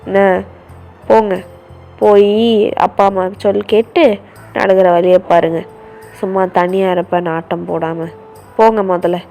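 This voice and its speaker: A woman's voice: mid-range voice, little pitch movement, brisk, vocal fry, warm tone, faint music behind, voice pushed down, natural voice. A woman voicing an angry, controlling older brother who barks threats and orders. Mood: angry threatening. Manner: harsh, threatening, commanding.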